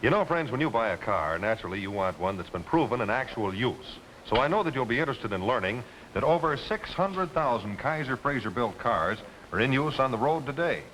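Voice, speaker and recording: Animated American male 1950s announcer. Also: medium pitch, fairly quick, low-quality recording. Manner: chatty, direct address to viewers. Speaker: commercial announcer. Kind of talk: folksy 1950s television ad pitch. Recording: hissy old 1950s TV soundtrack.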